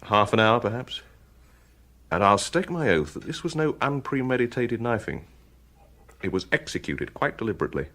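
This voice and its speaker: An actor playing a police pathologist in a radio drama, giving findings in a precise, measured way. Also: male voice, fairly low pitch, big pitch swings, fairly bright tone, exaggerated voice. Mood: clinical. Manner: measured, clinical.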